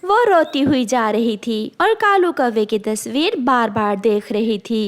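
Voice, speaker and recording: Slow, high-pitched woman storyteller. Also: animated. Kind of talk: scripted narration of a children's cartoon tale.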